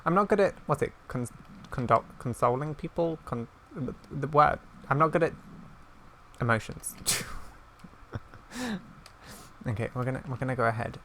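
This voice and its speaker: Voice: medium pitch, moderate pace, pauses often, fairly bright tone, a little hiss or hum. A host giving casual gameplay commentary, with scattered, playful asides. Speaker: gaming video host.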